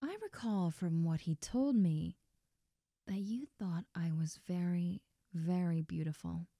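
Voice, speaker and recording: A woman playing a best friend's friendly, nosy older sister in an intimate roleplay audio drama, talking softly and coyly straight to the listener. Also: lowish voice, unhurried, vocal fry, dry recording, clean studio sound. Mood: coy, softly teasing. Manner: soft, gentle, coy, addressing the listener directly.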